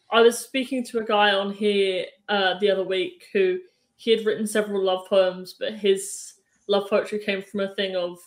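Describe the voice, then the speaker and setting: Woman interviewer, rambly and chatty, sounds kinda roomy and echoey. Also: medium pitch. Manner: chatty, rambling questions with many fillers. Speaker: podcast interviewer. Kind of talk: casual interview chat.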